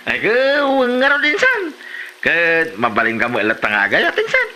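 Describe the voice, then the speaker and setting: A man acting an excitable, teasing cousin in a rural comedy drama, with an Ilocano accent. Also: on the high side, moderate pace, a little hiss or hum, full character voice. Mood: excited.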